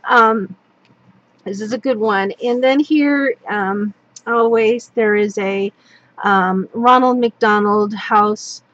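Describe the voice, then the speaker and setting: A woman from Northern California, hoarse and losing her voice, casually walking through a product catalog with lots of ums and restarts, recorded at a table with an overhead camera. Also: medium pitch, takes it slowly, a bit bright, muffled recording. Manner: rambling, full of ums and restarts. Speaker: craft product demonstrator. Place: indoors at a wooden table. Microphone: overhead camera on a tabletop, hands only.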